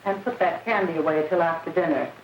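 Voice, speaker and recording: A woman's voice: lowish voice, fast, close to an everyday voice. An actress playing a well-mannered woman of a wholesome household, in genteel, theatrical 1930s film style. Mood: concerned, chiding. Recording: muffled, roomy, hissy 1930s film soundtrack.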